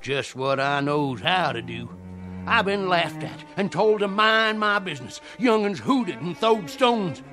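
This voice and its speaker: Old southern conjure man, high voice that swings all over, folksy and fervent, radio drama. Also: lively intonation, unhurried, music in the background. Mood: aggrieved, folksy. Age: older. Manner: folksy, theatrical, rustic dialect. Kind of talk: dramatic radio play acting.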